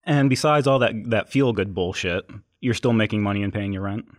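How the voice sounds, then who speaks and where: A man's voice: fairly low pitch, a little fast. A man hosting a casual podcast interview, asking blunt, skeptical questions. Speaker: podcast host.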